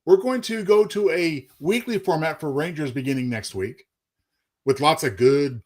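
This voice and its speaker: A man co-hosting a casual talk show, explaining plans in a measured, conversational way. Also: fairly high pitch, a little mellow. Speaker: co-host. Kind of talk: casual show announcements and banter.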